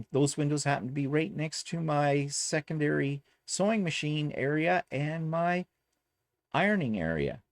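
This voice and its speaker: A man's voice: medium pitch, a little mellow, dry recording, studio quality. A man from Canada chatting casually in a home vlog, rambling with self-deprecating asides from his sewing room. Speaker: quilting vlogger.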